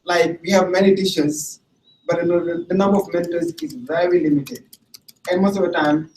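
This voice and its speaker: A man from Senegal gives a teaching presentation, speaking deliberately and circling back over his words. His voice sits a little high for a man. He is recorded from a distance in an echoey room with faint ambient hiss. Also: fairly even intonation, darkish tone. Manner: deliberate, with restarts and repeated words. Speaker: English teacher presenting a training module. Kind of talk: presentation to camera. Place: room without audience.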